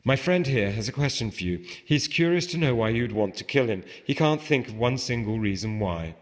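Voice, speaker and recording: A man's voice: mid-range voice, expressive, moderate pace, close to an everyday voice. A man reading aloud the part of a brash, mocking friend, insistent and falsely courteous, in a novel, in a reverberant space. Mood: mock-polite, goading. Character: brash, mocking male friend, theatrically suave. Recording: reverberant hall with long echo.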